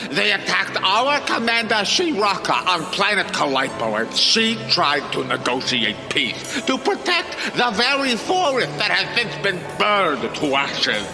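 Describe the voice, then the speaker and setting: A man's voice: high voice, big pitch swings, a little slow, few pauses, big-room sound, music in the background, exaggerated voice. An actor playing a pompous, vain, vengeful alien king in a sci-fi musical audio drama, making grandiose speeches.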